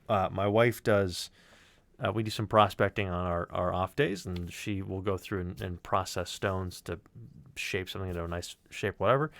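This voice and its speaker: A man's voice: deep voice, vocal fry, no room sound. A man giving a casual, rambling livestream walkthrough as a sound designer. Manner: informal, rambling, discursive.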